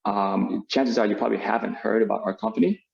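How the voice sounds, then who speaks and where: A man's voice: fairly low pitch, a little fast, vocal fry, darkish tone. A man in his forties, born in Vietnam and raised in the United States, presenting as a professor in a video call, with many fillers and restarts. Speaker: business school professor giving a webinar talk. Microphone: video call microphone. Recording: dull lo-fi video call audio.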